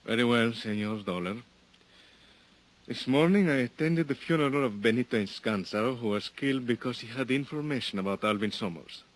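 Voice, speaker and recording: An actor playing a stern, formal police lieutenant in a 1950s radio drama, speaking in measured, careful sentences without contractions. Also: male voice, medium pitch, natural voice. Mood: grave. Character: stern, formal male police lieutenant in a small coastal town.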